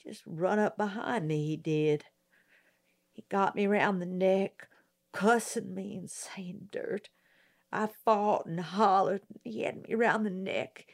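A frightened, defensive young woman of nineteen on a witness stand, her voice climbing high and tight. She speaks slowly in a plain, rustic way, a woman reader doing her voice in a storybook. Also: creaky. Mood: accusing. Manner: high, strained, rustic speech.

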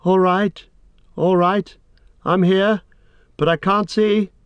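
A man voicing a gentlemanly hobbit master in short, urgent sentences, strained and commanding, as in a storybook reading. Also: high voice, steady tone, takes it slowly, clean tone, no echo, muffled recording, voice pushed up. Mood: weak, frightened.

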